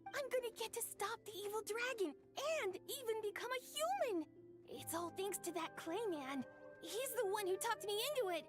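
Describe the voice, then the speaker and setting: A shy, childlike young dragon. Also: female voice, extremely high pitch, lively intonation, normal speed, soft background music. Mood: hopeful. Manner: sweet and childlike.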